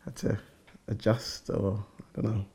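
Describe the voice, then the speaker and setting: Low, flat-voiced man. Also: unhurried, creaky, background noise. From southwest London. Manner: relaxed, hesitant, many ums. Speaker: married guest sharing his experience. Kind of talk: casual personal testimony.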